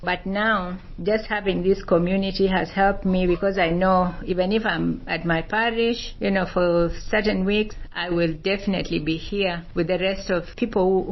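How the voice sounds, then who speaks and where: A woman with a slightly low voice speaks in an interview. She sounds like a community leader recorded on somewhat muffled audio. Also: fairly even intonation, moderate pace, hardly any pauses. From Kenya. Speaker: community organization president.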